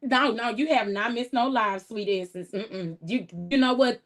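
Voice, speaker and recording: A woman's voice: on the high side, normal speed. Chatty woman hosting a livestream. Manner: warm, chatty, thanking viewers by name, laughs often. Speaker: livestream host. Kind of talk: casual livestream chat reading comments.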